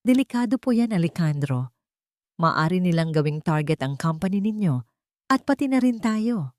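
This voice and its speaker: A woman's voice: lowish voice, expressive, moderate pace, a little mellow, no room sound, natural voice. A woman voicing a loyal, caring and resolute heroine in an audio storybook drama, earnest and pleading. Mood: worried.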